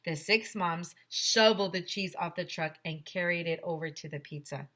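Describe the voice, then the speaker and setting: A woman teacher reading a children's story aloud in an expressive, simple storytelling manner. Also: fairly low pitch, moderate pace.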